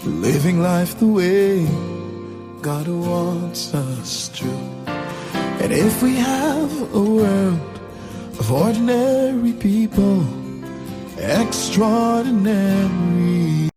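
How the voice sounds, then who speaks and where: A woman speaking a slow, solemn, reflective intro. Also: mid-range voice, over music. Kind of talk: slow spoken intro over guitar.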